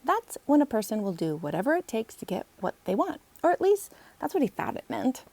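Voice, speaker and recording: A woman's voice: on the high side, big pitch swings, brisk, dark tone, no echo. A woman, the author, reading her children's book aloud as a storybook narrator.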